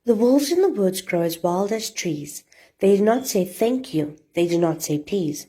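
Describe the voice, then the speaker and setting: A woman telling rhyming fairy tales to children as an expressive storyteller and host. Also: mid-range voice, a little slow, husky.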